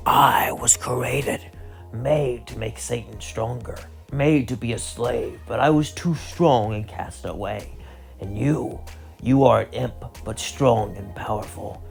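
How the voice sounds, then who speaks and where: A man voicing a proud, menacing alien symbiote creature in a slow, breathy, ominous half-whisper, with music behind. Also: medium pitch. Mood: sinister, persuasive.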